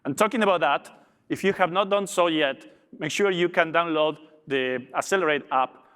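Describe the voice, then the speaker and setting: A man's voice: on the high side, normal speed, a bit bright, smooth. Argentine-accented man presenting in a hall. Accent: Argentine Spanish. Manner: upbeat, with small restarts. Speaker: logistics director presenting. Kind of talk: conference presentation. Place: large conference hall. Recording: long reverb of a big hall.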